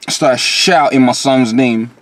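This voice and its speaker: A man with a London accent, a rapper guest in a candid interview, telling stories in an animated way with London slang. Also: slow pace, no room sound. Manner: lively, rising pitch when quoting himself.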